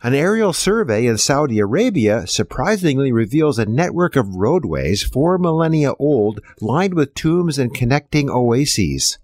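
Male news host, expressive swings in pitch, scripted delivery, dry studio-clean sound. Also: measured pace, few pauses, dry recording.

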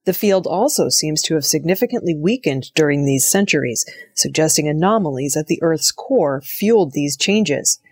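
A woman reads audio news from a script in a fairly low voice. Her delivery is measured and formal, and the sound is close and dry. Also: expressive, a little mellow, breathy edge, no room sound.

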